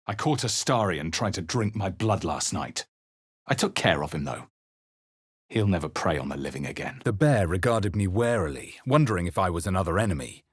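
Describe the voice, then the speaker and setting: A brooding fantasy game hero with a deep, husky voice. He is grave, watchful and theatrical, and his pitch moves widely as he delivers deliberate, dramatic lines. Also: no room sound, studio quality, full character voice. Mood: guarded, weary, then grave narration. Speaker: player character in a fantasy video game. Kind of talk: dramatic game voice lines and first-person narration.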